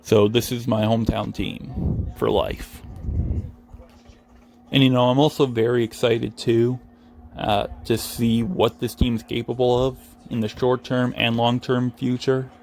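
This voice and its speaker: A young American man speaks in a fairly monotone voice with plenty of gravelly fry. He rambles casually through solo fan commentary, sounding earnest and reflective. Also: mid-range voice, measured pace. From New England, United States. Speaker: Patriots fan commentating over his video game.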